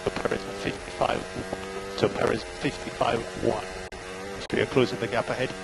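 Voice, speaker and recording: A male race engineer reads out gaps and lap times in short, clipped, steady calls. His voice comes through a team radio link, with loud engine noise behind it. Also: on the low side, moderate pace, creaky.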